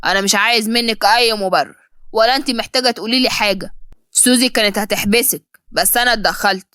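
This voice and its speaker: A woman's voice: fairly high pitch, fast, bright, forceful tone, no room sound, studio quality. A woman voicing a cruel, sarcastic rich man who threatens and mocks in a cold, commanding manner. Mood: cold, dismissive. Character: cruel, sarcastic, threatening wealthy man, lover turned bully.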